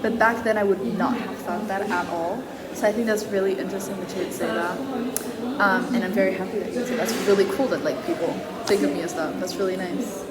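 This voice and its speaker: A woman's voice: mid-range voice, quick pace, a loud crowd. A young woman chatting casually as a podcast co-host. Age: young adult. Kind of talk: casual chat. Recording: echoey room, loud background noise.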